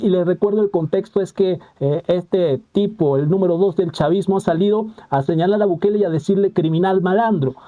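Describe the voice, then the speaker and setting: A young man hosting a live stream, commenting on a news clip in a halting way with many fillers and restarts, and reading out viewer comments. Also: sits high, narrow, tinny audio.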